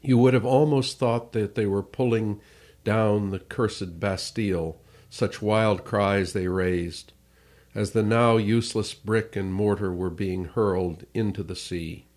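A man reading literary narration for an audiobook, measured and phrase by phrase. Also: fairly low pitch, steady tone, a little mellow.

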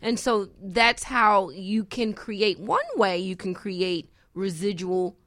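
A woman's voice: medium pitch, takes it slowly, fairly bright tone, no room sound, studio quality. A woman speaking as a real estate expert on talk radio, explaining conversationally with restarts and acted-out examples. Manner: restarts and self-corrects, acts out examples.

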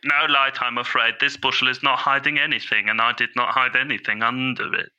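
A man's voice: medium pitch, flat delivery, brisk, sharp tone, clear, resonant tone, dull audio. A man playing an earnest, bumbling caller on a comedy podcast, fussy and overly polite. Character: earnest bumbling male bushel-farmer caller.

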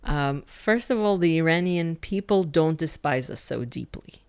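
A woman's voice: low-pitched, expressive, measured pace, a little mellow, smooth. A woman guest expert on Iran, answering interview questions in an explanatory, correcting way with frequent restarts.

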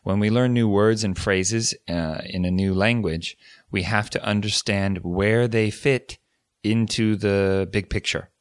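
A man with a very low voice talks through ideas in a relaxed, thoughtful way, often circling back to rephrase himself. The sound is close and dry, with no room around it. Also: measured pace, clear, resonant tone, no echo. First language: English. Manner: thoughtful, explanatory, with frequent restarts. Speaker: podcast co-host. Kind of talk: casual educational chat.

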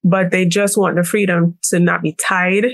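A woman's voice: lowish voice, little pitch movement, moderate pace, vocal fry, dry recording. A woman from Jamaica with a Jamaican accent, explaining things conversationally as a business-owner interview guest.

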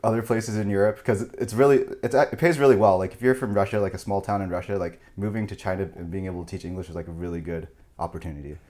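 A man's voice: low voice, steady tone, quick pace, hardly any pauses, darkish tone. A young American man chatting as a podcast guest, rambling and full of filler words, with a chuckle here and there. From United States. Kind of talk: casual chat.

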